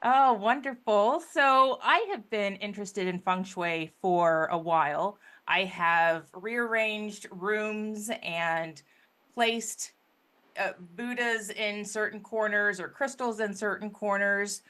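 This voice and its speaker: A woman hosting a podcast interview, enthusiastic and a little halting as she asks her questions. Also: mid-range voice, a bit bright. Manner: enthusiastic, stumbling over questions. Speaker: podcast host.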